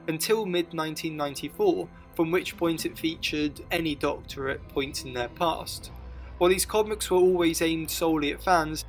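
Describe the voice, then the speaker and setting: A man reading scripted narration as the narrator of a documentary. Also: on the high side.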